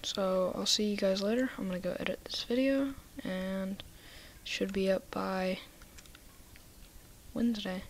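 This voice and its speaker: A woman casually walking through her game inventory in a soft, half-whispered voice, a little nasal from a cold, recorded on a computer mic. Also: mid-range voice, steady tone, unhurried, slight background noise. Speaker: gaming video maker.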